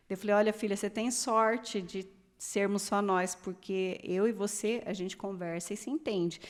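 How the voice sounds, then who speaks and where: A woman's voice: mid-range voice, a little mellow. A Brazilian woman in her fifties, a podcast guest telling personal stories in a chatty, casual way. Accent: Brazilian Portuguese. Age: 50s. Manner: chatty, storytelling, laughs often. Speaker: guest, pre-candidate.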